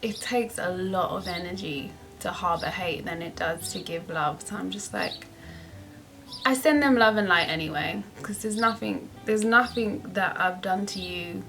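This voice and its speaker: A woman's voice: on the low side, normal speed, sharp tone, faint music behind. A young woman vlogger who answers viewer questions in a chatty, upbeat way and laughs often. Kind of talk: casual Q&A vlog.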